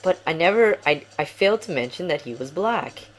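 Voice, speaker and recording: A man's voice: on the high side, animated, brisk, a little hiss or hum, low-quality recording. A young man giving casual game commentary at home, rambling and apologizing often, poking fun at himself. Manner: rambling, apologetic, self-deprecating. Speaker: gaming commentator.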